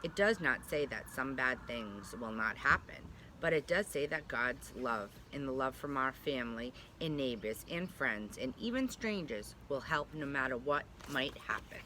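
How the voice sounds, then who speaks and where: A woman's voice: rumbling low, expressive, moderate pace, hardly any pauses, sharp tone, a little hiss or hum. A woman pre-K teacher reading a picture book aloud to young children, speaking simply and directly to them with little check-in questions.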